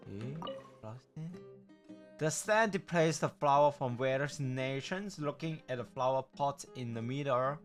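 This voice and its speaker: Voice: medium pitch, measured pace, soft background music. A game streamer commentating casually, reacting aloud with swearing and reading game text aloud.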